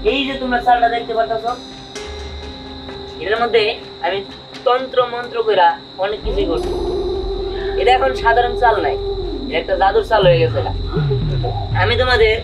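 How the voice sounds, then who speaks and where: A confident child apprentice magician played by an actor speaks in rural Bangladeshi dialect. The delivery is declamatory and instructive, pitched in a middle range, with music clearly behind it. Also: lightly acted, low-quality recording. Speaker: actor in a family comedy film. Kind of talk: staged folk-tale film dialogue. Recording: music bed under dialogue.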